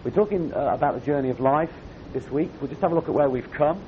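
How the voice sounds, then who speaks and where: A man with a croaky voice, giving a conversational sermon to young people at a camp. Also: fast. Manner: conversational, emphatic repetition. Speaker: camp speaker. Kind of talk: youth sermon. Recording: dull lo-fi recording with faint rumble.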